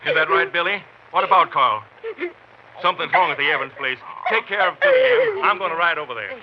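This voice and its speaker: A man's voice: fairly high pitch, wildly swooping pitch, brisk. A man playing a shaken, plain-spoken rancher and father, urgent and worried, in a western radio drama. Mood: urgent concern. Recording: muffled old radio recording, clear noise.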